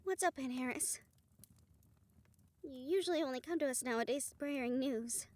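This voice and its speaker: Woman acting as a high-voiced casual young niece. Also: normal speed, darkish tone, close to an everyday voice. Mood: casual, resigned. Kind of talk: audio roleplay drama acting.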